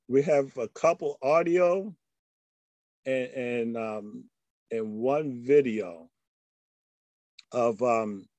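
An older man giving an informal presentation in a halting way over a video call. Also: medium pitch, darkish tone, no room sound. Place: indoor room at home, video call. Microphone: computer microphone on a video call.

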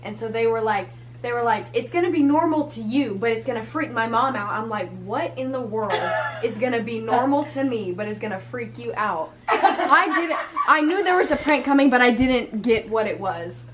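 A woman's voice: a little high, animated, normal speed. A young woman chatting and giggling with family in a living room, filmed on a handheld camera. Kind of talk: casual family chat. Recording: loud household noise behind.

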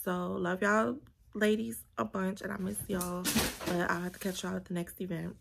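A chatty woman talks into a phone held close in a small bedroom. Her mid-pitched voice has a gravelly fry to it, and faint room noise hums behind her. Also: level tone, moderate pace. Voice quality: gravelly morning voice. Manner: chatty, laughing, addresses viewers as y'all. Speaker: vlogger. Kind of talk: casual chat to camera. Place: small bedroom at home, indoors. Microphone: phone held close or propped at arm's length.

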